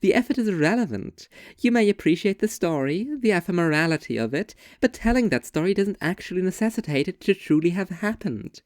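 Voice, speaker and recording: Someone acting as a young woman, sardonic and opinionated, literary dialogue, articulate n deliberate, mid pitch, super dry sound. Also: lively intonation, no echo, lightly acted. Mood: argumentative, sardonic. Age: young adult. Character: two young women debating art, intense and sardonic. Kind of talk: scripted literary dialogue, argumentative monologue delivery.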